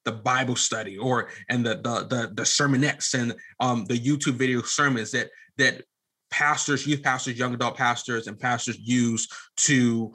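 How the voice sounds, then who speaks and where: Bright, forceful young man explaining his venture. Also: medium pitch, steady tone. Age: late 20s. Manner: halting, repeats phrases and restarts often, emphatic. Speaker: podcast guest, media app founder. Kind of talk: conversational interview answer, explaining a venture.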